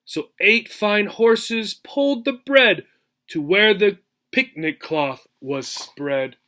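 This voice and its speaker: Slow, high-voiced man reading a storybook. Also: bright, forceful tone. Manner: checks understanding with "right?". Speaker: teacher reading aloud to English learners. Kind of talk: expressive rhyming storybook narration with simple explanatory asides.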